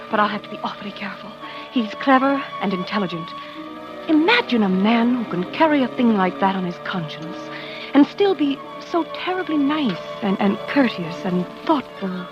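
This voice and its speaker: A woman plays a determined young wife in a vintage radio thriller. She talks in an earnest, coaxing, slightly hesitant way at a medium pitch. The recording is old and dull, with music behind her. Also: natural voice. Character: determined young wife coyly playing sweetheart to a suspect. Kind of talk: 1940s radio drama acting.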